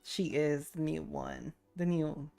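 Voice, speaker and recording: A woman's voice: low voice, steady tone, takes it slowly, creaky, mellow. A woman livestreaming a game with casual, rambling, giggly chat. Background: game music.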